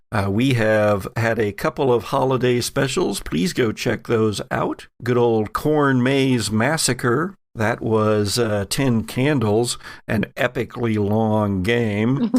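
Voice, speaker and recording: A man with a creaky, gravelly voice hosts a tabletop game podcast. He takes his time, leaning theatrically into certain words. The sound is dry, with very little room around him. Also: mid-range voice, no room sound. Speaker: podcast host and game master. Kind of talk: hosting and narrating an actual play audio drama.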